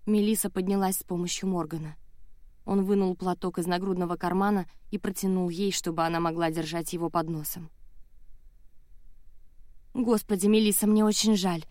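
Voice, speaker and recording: A woman narrates a dramatic first-person novel as an audiobook. Her voice sits a little low, and the studio sound is close and dry. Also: steady tone, a little fast, a little mellow, no room sound.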